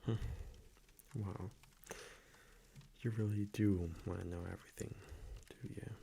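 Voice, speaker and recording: A man playing a gentle, confiding vampire boyfriend in an intimate ASMR roleplay, speaking hushed and hesitant and often slipping into a whisper. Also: sits low, vocal fry, slight background noise, exaggerated voice. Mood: shy, hesitant.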